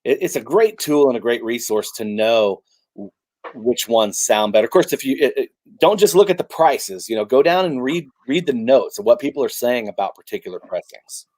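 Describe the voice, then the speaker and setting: A man talking casually as a guest collector, halting and restarting, friendly and agreeable. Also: medium pitch, animated, a little mellow. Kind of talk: casual chat about vinyl records.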